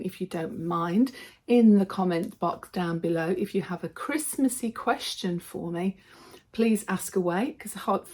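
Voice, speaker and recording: A woman vlogger with a slightly hoarse voice from a cold, chatting casually and cheerfully to her viewers. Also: on the low side, warm tone. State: slightly hoarse, losing her voice from a throat infection. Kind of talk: casual vlog chat to viewers.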